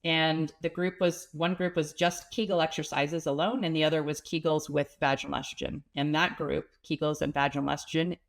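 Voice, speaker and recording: A woman with a cold and a slightly stuffy, nasal voice, a pelvic health expert calmly explaining things as an interview guest. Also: sits low, a little fast, vocal fry, few pauses. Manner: earnest, explanatory, some restarts. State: has a cold, sniffling.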